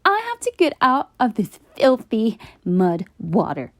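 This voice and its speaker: A woman's voice: fairly high pitch, sing-song, unhurried, no room sound, studio quality. A woman voicing a neat, fussy, dainty female unicorn in a children's storybook. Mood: annoyed. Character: neat, prissy female unicorn, proud of beauty. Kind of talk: children's storybook character acting.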